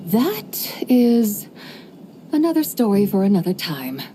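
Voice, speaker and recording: A woman's voice: mid-range voice, sing-song, takes it slowly, warm tone, slight background noise. A woman voicing an ancient, playful female horse spirit who likes to show off, speaking in archaic, poetic phrasing with a wry, amused tone.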